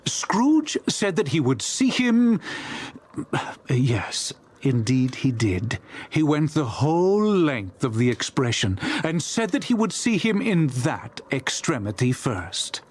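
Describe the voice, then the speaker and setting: Husky, expressive male storyteller. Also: a little high, takes it slowly. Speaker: narrator. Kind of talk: expressive scripted audiobook narration.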